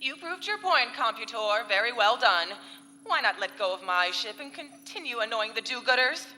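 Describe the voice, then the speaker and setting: A woman's voice: a little high, animated, moderate pace, bright, forceful tone. Woman playing piercing, theatrical space villainess. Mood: exasperated. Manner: theatrical, grandiose, biting. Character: scheming female space villainess, haughty, exasperated arch-nemesis. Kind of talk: live comedic sci-fi radio play in 1940s serial style. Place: convention hall stage before live audience. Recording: reverberant live hall, faint music.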